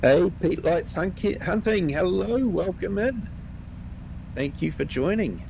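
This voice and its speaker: A man with a New Zealand accent hosting a live gameplay stream, chatting with viewers in a relaxed way, his voice a little croaky from a cold. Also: sits high, unhurried, dull audio, a little hiss or hum. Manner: relaxed, chatty, greets viewers by name, many ums. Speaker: livestream host.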